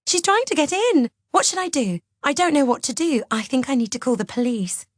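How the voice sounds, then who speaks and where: A woman's voice: sits high, lively intonation, brisk, dry recording, pitched above the performer's own voice. A woman voicing a scheming young woman who puts on a tremulous, tearful, terrified voice in an audiobook story. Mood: shrill, terrified. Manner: tremulous, gulping, sobbing when on show.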